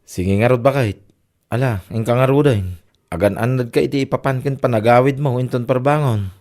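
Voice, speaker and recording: A man's voice: mid-range voice, fairly quick, darkish tone, natural voice. A man with a Filipino accent playing a considerate, caring husband in a radio drama. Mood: concerned. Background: music.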